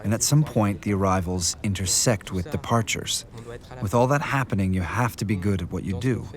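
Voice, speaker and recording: A man's voice: fairly low pitch, normal speed. A man giving an English documentary voice-over of an interview, explaining in spoken first person. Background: music.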